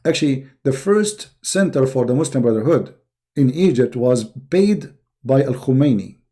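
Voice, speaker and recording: A man's voice: mid-range voice, lively intonation, normal speed, mellow. A man from the Middle East, a native Arabic speaker with an Arabic accent, giving informal, unscripted political commentary as a live-stream host, emphatic and often repeating and rephrasing his points.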